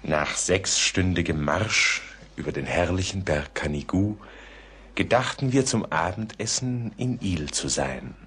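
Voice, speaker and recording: A deep, brooding husky writer. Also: male voice, animated, fairly bright tone, exaggerated voice. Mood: earnest recollection. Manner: brooding, deliberate, literary. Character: reflective, melancholy male writer recounting a mystery. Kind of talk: dramatic radio play narration.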